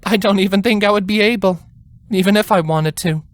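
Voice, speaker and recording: A man voicing a caring but stern older sister, a pony princess, speaking softly and earnestly in a fan fiction story. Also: high voice, voice pushed up, close to an everyday voice. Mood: kind, firm, sad. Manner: soft-spoken, deliberate, earnest. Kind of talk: fan fiction character reading.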